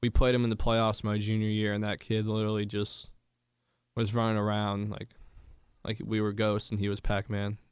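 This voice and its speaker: Low, even-toned young man, casual. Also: moderate pace, smooth, no echo, studio quality. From Florida, United States. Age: young adult. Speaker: college football player guest. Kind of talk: casual sports interview answers.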